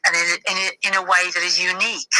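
A woman's voice: fairly low pitch, little pitch movement, a little fast, bright, forceful tone, rough recording. A middle-aged woman from the west of Ireland with an Irish accent, reflecting on personal experience as a podcast guest in hesitant, thoughtful phrases.

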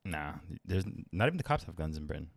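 Deep, fast-talking man, blunt and joking. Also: very animated, gravelly. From United States. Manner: blunt, joking, swears freely. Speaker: podcast co-host. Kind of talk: casual chat.

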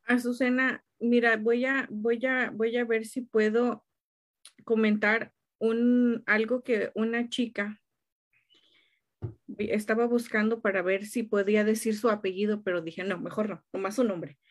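A woman's voice: on the high side, level tone, measured pace. A woman in a casual conversation, speaking emphatically and restarting her phrases often.

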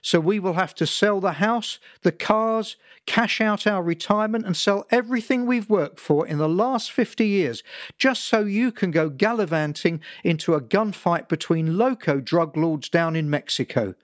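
A man playing an angry, lecturing father who talks slowly and emphatically. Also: high voice, very animated, no echo, studio quality, voice pushed up. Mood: stern lecturing. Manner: emphatic, slow and pompous.

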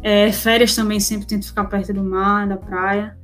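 A woman's voice: mid-range voice, little pitch movement, moderate pace, soft background music. A woman with a Northeastern Brazilian accent chatting casually as an interview guest, informal and full of tag questions. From Recife, northeastern Brazil. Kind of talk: casual chat.

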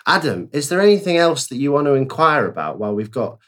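A man's voice: medium pitch, very animated, fast, fairly bright tone. A man hosting a podcast with playful, elaborate, eloquent phrasing. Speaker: podcast host. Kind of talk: casual chat.

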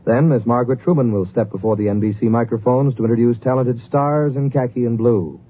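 A male 1950s network announcer delivers formal, polished promotional copy. His voice is medium in pitch. The broadcast sound is narrow, with faint noise. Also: steady tone, a little fast.

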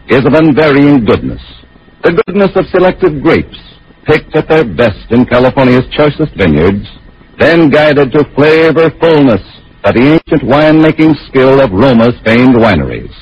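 A man's voice: medium pitch, big pitch swings, a little slow, a little hiss or hum. A man reading a 1940s radio advertisement as a polished, emphatic commercial announcer. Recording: muffled vintage radio.

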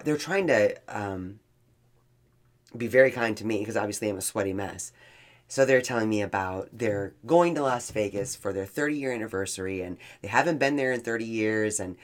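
Chatty woman telling a travel story. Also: rumbling low. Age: middle-aged. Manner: animated, jokey, lots of restarts and quoted voices. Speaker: podcast co-host. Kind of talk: casual storytelling chat.

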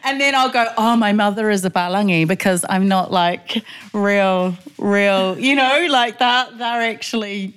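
A middle-aged woman with a New Zealand accent, chatting informally and telling personal stories in a halting way. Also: mid-range voice, fairly bright tone, clear, resonant tone. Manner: informal, halting, frequently says "like". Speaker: podcast guest, poet. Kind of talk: casual chat, personal storytelling.

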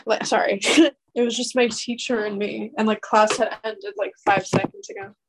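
A woman's voice: mid-range voice, moderate pace, noisy background. A young woman in casual chat, telling rambling stories with lots of fillers and a slightly nasal sound. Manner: rambling, animated storytelling with many fillers.

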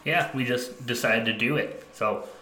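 A man's voice: medium pitch, moderate pace, sharp tone, echoey room, a little hiss or hum, poor audio. A man co-hosting a podcast, chatting casually and enthusiastically in slangy banter with a friend. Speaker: podcast co-host.

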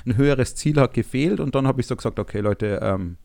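A man with a German accent and an average-pitched voice co-hosts a casual podcast. He talks colloquially and bluntly, rambling a little, in a very dry recording free of room sound. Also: a little fast, gravelly, darkish tone, no room sound. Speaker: podcast co-host.

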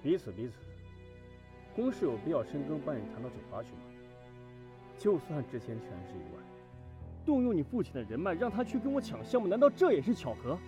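A man's voice: a little high, very animated, fairly quick, pauses often. A man playing a cold, proud company boss in an audio drama, cutting and defiant with an ex-lover. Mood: bitter, cutting. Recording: music bed under the voice.

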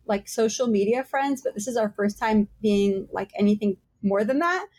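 A woman's voice: mid-range voice, moderate pace, a little mellow. A woman hosting a podcast interview, chatting in an upbeat, friendly way. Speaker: podcast host. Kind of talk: casual interview chat.

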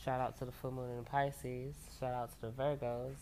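A woman's voice: rumbling low, level tone, normal speed, a little mellow, slight background noise. A woman hosting her own podcast in a loose, rambling chat at home, full of fillers and casual swearing, sounding a little stuffy from allergies. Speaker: podcast host. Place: home, laundry dryer running. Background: lo-fi music playing under the voice.

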